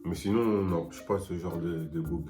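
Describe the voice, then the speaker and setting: A man's voice: deep voice, steady tone, moderate pace, mellow. A tipsy man in his twenties, telling stories and teasing in laid-back slang. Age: 20s. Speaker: guest. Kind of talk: casual drinking game chat. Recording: echoey room with music behind.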